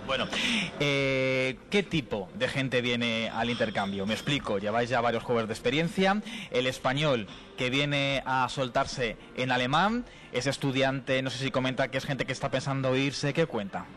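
A man's voice: medium pitch, normal speed, a bit bright. A man from Spain doing a live on-location interview as a radio reporter, chatty and jokey.

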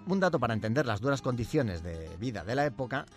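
A man's voice: mid-range voice, very animated, quick pace, faint music behind. A man presenting a humorous history segment, reading a script with wry, ironic asides.

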